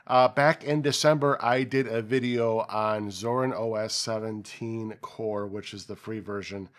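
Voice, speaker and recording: A man's voice: on the low side, steady tone, measured pace. A man in his forties with a slightly nasal voice from a cold, hosting a casual tech walkthrough from his home office, talking loosely with fillers and restarts. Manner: conversational, many fillers and restarts. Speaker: tech channel host. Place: home office with desk and monitor.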